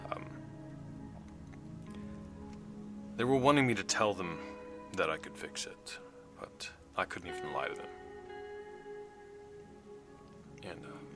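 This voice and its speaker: A very low, gravelly male voice, a weary working mechanic in a sci-fi audio drama. He talks haltingly and reflectively, like someone recording a sombre log, with music underneath. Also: expressive. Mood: sombre recounting. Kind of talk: audio drama monologue, naturalistic.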